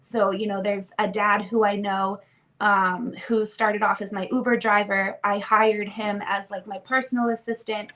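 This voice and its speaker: A woman's voice: medium pitch, little pitch movement, normal speed, sharp tone, rough recording. A woman in her twenties, sniffly from a cold, chatting casually as a podcast guest with lots of "like" fillers. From born in Romania, raised in Canada. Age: 20s. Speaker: interview guest.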